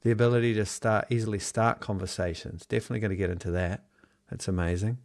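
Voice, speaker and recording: A man's voice: on the low side, fairly even intonation, fast talker, vocal fry, darkish tone. A man from New Zealand leading a live online workshop, upbeat and encouraging as he reads out and answers chat replies, heard over a video call. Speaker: online business trainer. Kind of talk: live Zoom workshop teaching.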